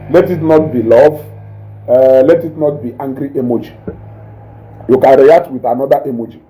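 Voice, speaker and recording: A man with a Nigerian accent and a naturally loud voice, hosting a casual live stream, reading viewer comments and mixing English with Igbo while he eats. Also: fairly high pitch, low-quality recording. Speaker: online show host reading viewer comments.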